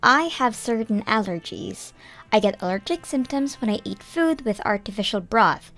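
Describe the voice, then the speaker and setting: A young woman narrating a manga dub in first person, measured and earnest. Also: a little high, expressive, fairly bright tone, soft background music. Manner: measured storytelling, plain and earnest.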